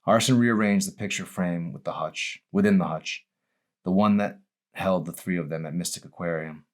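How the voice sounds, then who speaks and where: A man's voice: deep voice, normal speed. A man reading his own novel aloud as a dramatic audiobook narration.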